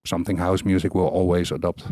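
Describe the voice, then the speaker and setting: A man's voice: low voice, little pitch movement, fast, mellow, no room sound, studio quality. A middle-aged man from the Netherlands with an Amsterdam accent, chatting casually as a DJ guest, rambling with many fillers and easy laughs. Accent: Dutch Amsterdam. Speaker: DJ and producer guest. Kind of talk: casual interview chat.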